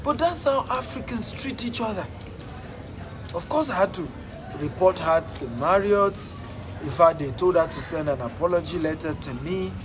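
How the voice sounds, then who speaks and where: Nigerian male motivational speaker, high-pitched and emphatic, repeats lines for punch; music bed throughout. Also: expressive, normal speed. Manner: emphatic, repeats phrases for effect. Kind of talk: motivational talk.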